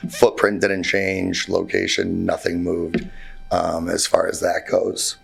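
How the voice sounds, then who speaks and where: A man with a hoarse, worn-out voice presenting his building requests to a township board, talking in a halting way with many fillers and restarts. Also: low-pitched, little pitch movement. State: hoarse, voice nearly gone. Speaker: contractor presenting to a zoning board. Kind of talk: informal presentation at a public meeting. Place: township meeting room.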